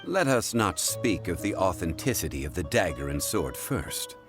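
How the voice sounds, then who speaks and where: A very deep-voiced calm wise man, rises and falls a lot, polite, music behind. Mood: composed. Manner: measured, courteous and unhurried. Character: calm, learned man of measured wisdom. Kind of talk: video game voice acting. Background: game music.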